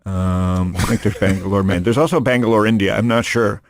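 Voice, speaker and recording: A man's voice: on the low side, steady tone, fairly quick, no echo, studio quality. A man hosting a podcast in casual chat, rambling and joking, often restarting his sentences. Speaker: podcast host. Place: studio room.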